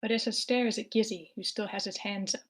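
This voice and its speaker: A woman narrates a screenplay in a live online table read. Her voice sits at a medium pitch as she reads out scripted action. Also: fairly even intonation, brisk, rough recording. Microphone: remote online meeting.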